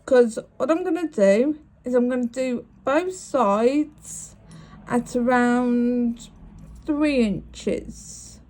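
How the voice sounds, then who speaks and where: A woman's voice: fairly high pitch, very slow, darkish tone, slight background noise. A woman, sniffly with a cold, talking through each step of making a bracelet in a chatty, apologetic way. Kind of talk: crafting tutorial.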